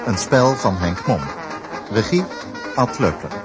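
A man's voice: fairly low pitch, lively intonation, measured pace. A man announcing the title and credits of a radio play in a scripted delivery. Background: music.

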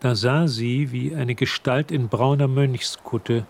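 A man's voice: medium pitch, steady tone, slow pace, a little mellow. A man reading scripted narration for a radio drama, telling the story as narrator. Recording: clear ambient noise behind voice.

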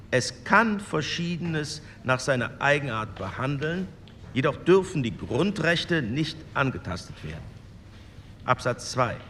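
Procedural committee chairman, bright tense voice, formal tone, hall reverb. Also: fairly high pitch, exaggerated voice. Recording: reverberant hall with faint room noise.

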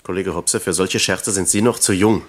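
An actor playing an evasive East German works official, measured and condescending, in a German radio play. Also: male voice, low voice. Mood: curt, rebuffing.

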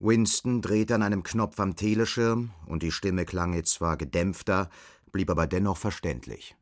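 Deep-voiced male literary narrator. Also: normal speed. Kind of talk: scripted literary narration in a radio drama.

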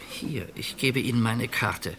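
An actor playing a courteous murderer with gallows humour in a radio crime play, speaking in a composed, formal and ironic way. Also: male voice, medium pitch, vocal fry, background noise. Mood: polite, matter-of-fact. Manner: polite, composed, ironic, measured formal speech. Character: courteous, gallows-humoured murderer pleading calmly for his life.

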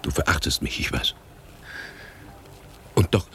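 An actor playing a bitter, hardened revolutionary and former prisoner in a German radio drama, grim and emphatic in manner. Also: male voice, a bass voice, lively intonation, a little slow, fairly bright tone, a little hiss or hum, exaggerated voice. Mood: bitter, hateful.

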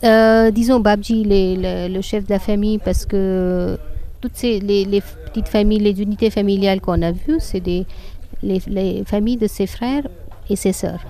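A woman's voice: mid-range voice, fairly even intonation, normal speed, warm tone. A woman from northern India whose first language is Hindi, explaining things in a conversational way with frequent hesitations and restarts, recorded outdoors in a public garden. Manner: hesitant, many fillers and restarts. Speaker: guide and interpreter.

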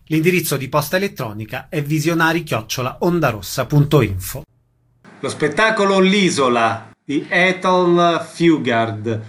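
A man's voice: on the high side, fairly bright tone. A man presenting on radio, reading scripted announcements.

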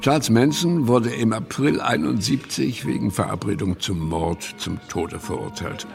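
A man's voice: on the low side, moderate pace, gravelly. A man with pronunciation giving scripted, matter-of-fact documentary narration in a radio play. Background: music.

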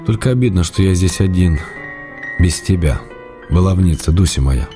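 An actor playing a middle-aged Russian writer, tender and wry, reading love letters aloud in a radio play. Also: male voice, deep voice, lively intonation. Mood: longing. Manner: affectionate, gently teasing, measured. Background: music.

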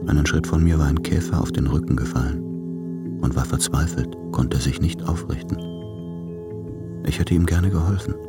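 An actor playing a brooding, tender man of letters in a German radio play, measured and reflective in a very deep, gravelly voice. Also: steady tone, over loud music.

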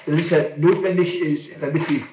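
A man's voice: a little high, steady tone. A man speaking as a defence lawyer at a formal questioning, courteous and insistent, often repeating himself. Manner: hurried, courteous, repetitive, insistent. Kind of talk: spontaneous talk at a formal questioning. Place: hard echoing room, distant microphone. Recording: noisy echoey distant 1960s tape recording.

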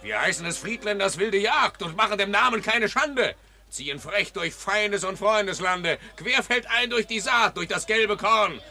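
A man in a 1950s radio play acting a rough, brazen huntsman soldier, loudly declaiming boisterous rhymed verse. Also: high-pitched, lively intonation, normal speed, heavily acted. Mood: brash, boastful. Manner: brash, loud declaiming.

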